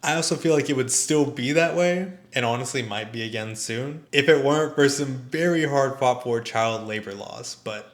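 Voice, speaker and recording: Sardonic man, mid-pitched voice. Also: normal speed, hardly any pauses. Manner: sardonic, dry. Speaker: video essayist and film reviewer. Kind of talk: conversational scripted review commentary.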